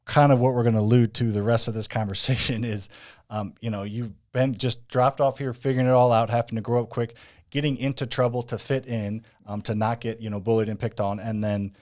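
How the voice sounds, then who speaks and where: A man hosting an interview, talking in an energetic, hyped-up way. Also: medium pitch, fairly quick. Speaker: interview host. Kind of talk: enthusiastic interview chat.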